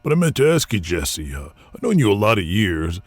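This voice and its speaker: Lively-pitched veteran wrestling announcer. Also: male voice, brisk, no echo. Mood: concerned, friendly. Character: sympathetic veteran male wrestling announcer, old friend. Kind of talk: casual audio drama dialogue.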